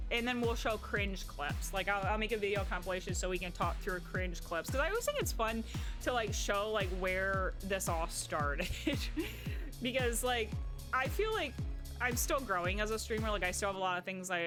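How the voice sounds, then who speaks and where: A young woman with a light Midwestern accent chats with her stream audience in a loose, rambling way, restarting sentences and leaning on "like". Her voice sits at an ordinary middle pitch, with quiet music in the background. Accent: Midwestern American. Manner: chatty and rambling, heavy on "like" and restarts. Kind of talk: casual stream chat.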